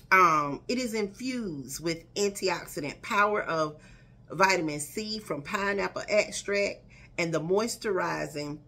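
A woman's voice: medium pitch, husky. A woman, chatting casually to camera in her living room, talking straight to her viewers. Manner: chatty, animated, addresses viewers as y'all and girl. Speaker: vlogger. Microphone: camera in front at desk distance.

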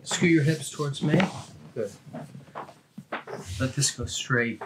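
A middle-aged man talks a patient through treatment in short, plain directives. His pitch is average for a man, and there is clear noise with clicks behind him in a small office. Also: slow. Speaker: chiropractor. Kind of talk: casual hands-on instructions to a patient. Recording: clearly noisy room with clicks.

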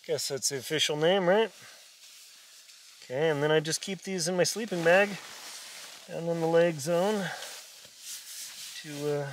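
Middle-aged male outdoor vlogger, slow and folksy, explaining routines while busy with gear; clearly noisy on-camera sound. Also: on the high side. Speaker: solo winter camping vlogger. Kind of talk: casual instructional vlog talk. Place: inside a small tent outdoors at night.

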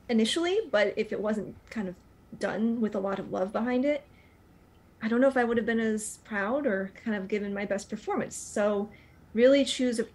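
A woman actor speaking thoughtfully and earnestly in a panel interview over a video call. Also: mid-range voice. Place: indoor room, on a video call.

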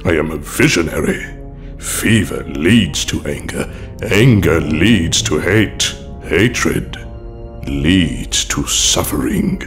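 A man playing an elegant, sinister older dark lord in an audio drama, speaking in a measured, formal, menacing and coldly persuasive way. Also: extremely low pitch, animated, exaggerated voice. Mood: grandiose, menacing. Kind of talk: fan audio drama acting. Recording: music behind the voice.

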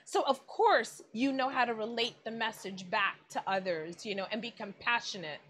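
A woman interviewing a guest over a video call, effusive and admiring. Her voice sits high and sounds bright and forceful through the webcam. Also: moderate pace, a little hiss or hum. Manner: effusive, admiring, many exclamations. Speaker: magazine editorial director, interviewer. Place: indoors in front of a plain wall.

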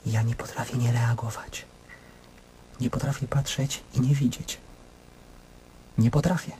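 An actor in a radio play voicing an anguished, self-questioning middle-aged man, brooding and intense, speaking in halting monologues. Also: medium pitch, fairly even intonation, mellow, slight background noise, natural voice, rough recording. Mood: despairing.

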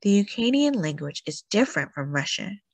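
Lively, breathy woman reading aloud. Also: mid-range voice, no room sound. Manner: slow, careful storybook reading with lively rises on key words. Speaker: children's book reader. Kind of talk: classroom read-aloud.

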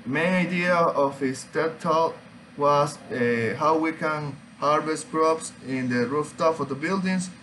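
Slow, halting young man presenting. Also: fairly high pitch, fairly bright tone, slight background noise. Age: young adult. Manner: halting, hesitant, searching for words. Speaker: student. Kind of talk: informal student oral presentation. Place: small room at home, indoors.

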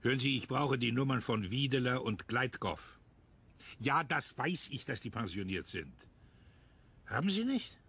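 An actor playing a bitter, stubborn older retired police chief in a radio crime drama, curt and commanding. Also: male voice, medium pitch, animated, unhurried, gravelly, a bit bright, a little hiss or hum. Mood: insistent. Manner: curt, irritable.

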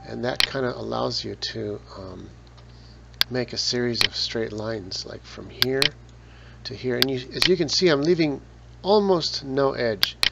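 A man talking through a photo editing tutorial step by step, relaxed, with casual asides. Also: mid-range voice, vocal fry. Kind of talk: screen-recorded software tutorial. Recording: dull lo-fi voice with loud noise.

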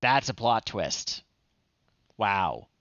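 A man in his thirties hosts a relaxed livestream with a bright, clean voice. He takes his time, pausing often, and sounds amused. The sound is close and dry. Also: on the low side, no echo, lo-fi sound. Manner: unhurried, amused, halting with pauses. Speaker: livestream host. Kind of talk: casual livestream commentary, reading children's stories aloud. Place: small home room, indoors.

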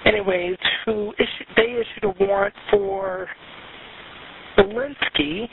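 A woman's voice: sits high, sing-song, unhurried, vocal fry. A woman working as a sheriff's dispatcher, speaking in a clipped, procedural way over radio and phone. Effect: radio and phone line. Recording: hissy, narrowband dispatch line recording.

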